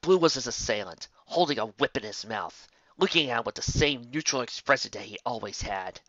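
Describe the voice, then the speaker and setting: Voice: mid-range voice, animated, fairly quick, fairly bright tone, no echo, muffled recording. A narrator reading scripted fiction aloud as an audiobook.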